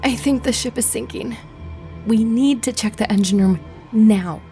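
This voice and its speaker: An actress playing a wary, secretive, capable woman in a sci-fi horror audio drama, breathy and often close to a whisper. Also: on the high side, unhurried, warm tone, faint music behind, natural voice. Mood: alarmed.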